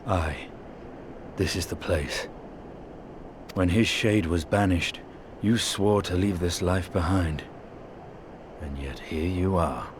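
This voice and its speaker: An actor playing a weary, gruff old warrior, speaking slowly and broodingly. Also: male voice, low voice, background noise.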